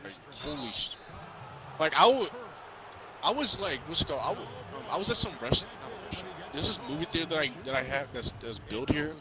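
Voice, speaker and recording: A man's voice: on the high side, expressive, fairly quick, crowd noise, low-quality recording. A young man chatting casually about a movie, stumbling and rambling through his stories in slangy speech. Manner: rambling, stammering storytelling with slang. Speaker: co-host of a movie review chat. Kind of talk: casual spoiler-filled movie chat.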